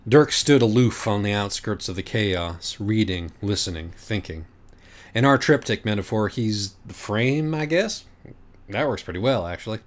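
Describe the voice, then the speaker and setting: Wry man narrating, low-pitched. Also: moderate pace. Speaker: audiobook narrator. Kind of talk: animated scripted narration of comic fiction.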